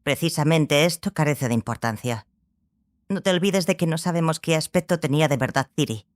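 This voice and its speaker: A woman's voice: deep voice, a little fast, no echo, clean studio sound, lightly acted. A woman playing a brusque, authoritative scholar of legends in an audiobook drama, with a firm, didactic way of talking. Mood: insistent.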